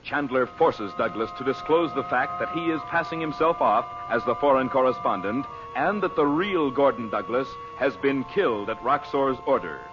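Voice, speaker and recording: A man's voice: medium pitch, dull audio. A man announcing an old radio serial in a scripted 1940s broadcast style. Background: music.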